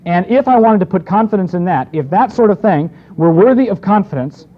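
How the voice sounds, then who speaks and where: A man gives an expository sermon in a relaxed, conversational manner. His voice sits a bit high for a man, and he often restarts a phrase or drops in a quick aside. The recording is dull and lo-fi. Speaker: preacher. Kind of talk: expository Bible teaching.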